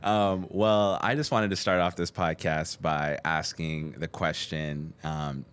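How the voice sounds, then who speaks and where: Man, podcast interviewer, notably deep voice, upbeat, playful, conversational. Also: steady tone, fairly bright tone, clear, resonant tone. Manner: upbeat and playful, teasing and quick to laugh. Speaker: guest interviewer, podcast host.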